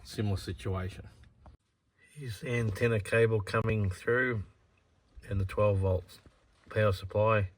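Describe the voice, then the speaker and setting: A man's voice: on the low side, fairly even intonation, unhurried, pauses often, low-quality recording. A man presenting a hands-on DIY how-to in a plain, matter-of-fact, step-by-step way.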